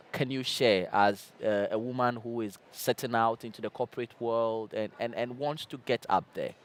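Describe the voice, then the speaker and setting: A man moderates a conference panel in a smooth, resonant voice. He talks hesitantly, stopping on fillers and repeating words as he finds his question. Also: mid-range voice.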